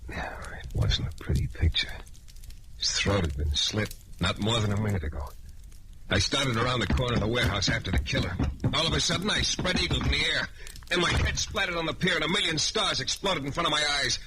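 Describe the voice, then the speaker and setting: A man's voice: medium pitch, expressive, a little fast, heavy background noise, natural voice. A man playing a reckless, sardonic private detective in 1940s radio drama style, on an old transcription recording. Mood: tense, grim. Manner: sardonic, colloquial, hard-boiled. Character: wry, reckless hard-boiled male private detective.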